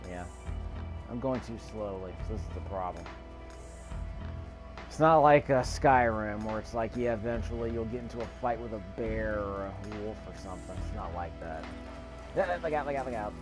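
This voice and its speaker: A man's voice: fairly low pitch, normal speed. Man thinking aloud over game music. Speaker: gamer recording a gameplay video. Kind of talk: casual gameplay commentary.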